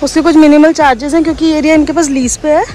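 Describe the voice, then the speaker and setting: High-voiced young woman vlogging outdoors. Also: fairly even intonation, fast talker, warm tone, slight background noise. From Uttarakhand hills. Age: young adult. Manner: chatty, teasing, recommends places to viewers. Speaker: travel vlogger. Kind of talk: casual travel vlog chat. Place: outdoors in hills and a roadside eatery. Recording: music playing under voice.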